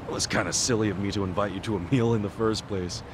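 An actor playing a loyal young former yakuza who talks in a lively, earnest way. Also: male voice, lowish voice, fast, noisy background, natural voice. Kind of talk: video game cutscene dub.

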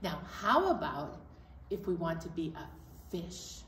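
A middle-aged woman presenting storytime to young children, animated and encouraging as she talks and leads action songs. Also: lowish voice, a little slow. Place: indoor library storytime set. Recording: roomy, distant mic, faint noise.

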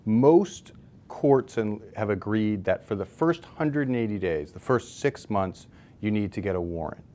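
An American man in his forties with a fairly low voice explains things as an interview guest. He is conversational and leans on key words. He speaks into a lapel mic in a newsroom studio. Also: animated, a little slow, mellow. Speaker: expert guest, civil liberties advocate. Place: newsroom studio, seated at table.

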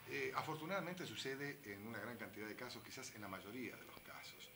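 Fast-talking male interviewer. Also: mid-range voice, expressive, gravelly. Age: middle-aged. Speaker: interviewer, host. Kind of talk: television interview. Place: room at home, seated at a table. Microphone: handheld microphone. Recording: noisy 1970s television archive recording.

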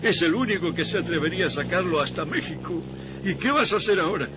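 A man's voice: high voice, animated, fast talker. An actor playing a brutish gangster sidekick, a doorman, in a radio play. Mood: alert. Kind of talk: radio theatre acting. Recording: dull, noisy vintage radio recording.

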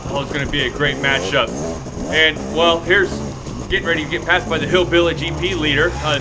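Young male motovlogger, excited rambling trail commentary, gravelly edge, helmet cam under engine roar. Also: fairly high pitch, background noise. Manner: excited, rambling, cheering on riders. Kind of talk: casual race motovlog commentary. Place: riding a dirt bike on a wooded trail, outdoors.

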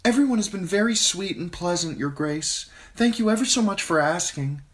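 A nervous teenage noble girl, polite and eager to please, her voice climbing high as she pleads while a man acts her out. She sounds breathless and worried throughout, far above the narrator's own deep register. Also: animated, normal speed, fairly bright tone. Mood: polite, anxious.

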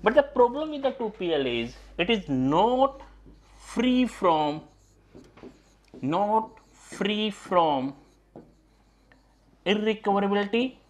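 A man's voice: sits high, lively intonation, slow pace, pauses often. A middle-aged man teaching an exam-prep lecture in a studio classroom, repeating key points and often addressing his students directly. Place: studio classroom at a digital whiteboard.

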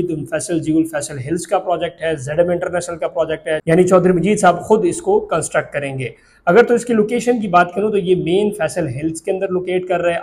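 A young man with a Pakistani Urdu accent presenting property prices to camera in a steady, explanatory sales pitch, recorded indoors in an office on a lapel mic. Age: young adult. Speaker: real estate agent.

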